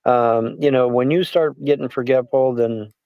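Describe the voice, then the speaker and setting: A man's voice: medium pitch, moderate pace, no room sound, highs cut off. A man in his sixties, rambling and self-deprecating as he hosts a casual livestream chat. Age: 60s. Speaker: livestream host.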